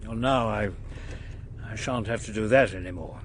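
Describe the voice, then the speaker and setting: A man's voice: on the low side, normal speed, a little hiss or hum, lightly acted. Haughty old English knight, acted by a man. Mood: grieving, subdued. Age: older. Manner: formal, haughty upper-class phrasing. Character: bereaved, strict, blustering old English knight. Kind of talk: British radio drama acting.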